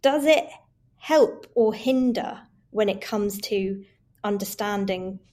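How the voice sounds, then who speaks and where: A woman's voice: medium pitch, slow, a little mellow. A woman with a mid-range voice conducting an interview. She asks curious, reflective questions and sometimes sums up what her guest has said.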